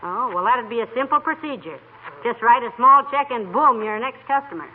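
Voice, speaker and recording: A woman's voice: fairly high pitch, lively intonation, brisk. A woman with an American accent playing a patient, teasing middle-aged wife with dry wit in an old radio comedy. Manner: gently chiding, dry teasing. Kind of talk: 1940s radio comedy acting. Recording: tinny, noisy old-time radio recording.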